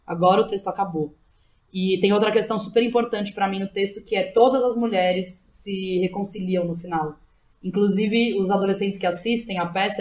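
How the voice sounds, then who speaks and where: Fast-talking Brazilian woman, casual and slangy. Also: mid-range voice. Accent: Brazilian, São Paulo. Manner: animated storytelling, slangy, quotes herself and others. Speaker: guest playwright and director. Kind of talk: casual conversational interview.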